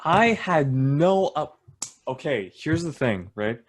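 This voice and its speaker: A man's voice: fairly high pitch, sing-song, slow pace, no room sound. A young man co-hosting a casual podcast, rambling through jokey tangents and laughing often. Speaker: podcast co-host. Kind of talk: casual chat.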